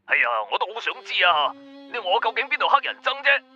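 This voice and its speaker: A man's voice: sits high, big pitch swings, quick pace, pushed and bright, soft background music, heavily acted. A man playing a foul-mouthed, cowardly joker of a friend in a radio drama, brash and whiny through a phone-like filter. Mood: whiny, indignant. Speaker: voice actor. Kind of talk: Cantonese radio drama acting.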